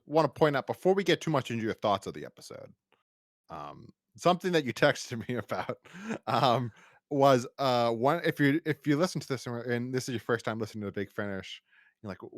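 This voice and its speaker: A man's voice: medium pitch, animated, normal speed, no room sound, studio quality. An American man hosting a podcast, chatting casually and wordily, with stammering restarts. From United States. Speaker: podcast host. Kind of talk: casual review podcast chat.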